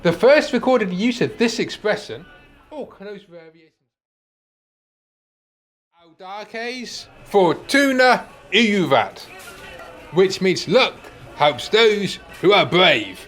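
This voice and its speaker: An actor as a pedantic, mild older gentleman in a radio comedy. His voice is high for a man and swings wildly in pitch as he picks his precise words. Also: big pitch swings, slow pace, faint music behind, exaggerated voice. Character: fussy, pedantic, mild-mannered older man called Arthur. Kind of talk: radio play comedy acting.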